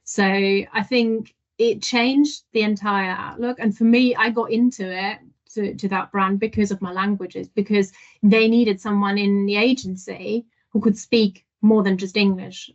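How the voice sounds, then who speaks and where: A woman guest on a podcast interview, telling her stories in a lively way and often laughing. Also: mid-range voice, moderate pace. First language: not French. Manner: animated storyteller, laughs often. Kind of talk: casual interview. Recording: dull lo-fi audio.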